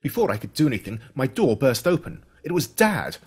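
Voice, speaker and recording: An Australian man reading a first-person story in a dramatic, suspenseful way, slowing down on emphatic words. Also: medium pitch, very animated, husky. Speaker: audiobook narrator.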